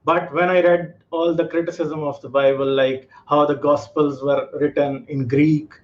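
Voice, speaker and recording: A man's voice: on the high side, flat delivery, normal speed. A man from Pakistan, calling in and explaining his views earnestly, with many fillers. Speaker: caller. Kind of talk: call-in discussion. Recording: muffled lo-fi call audio.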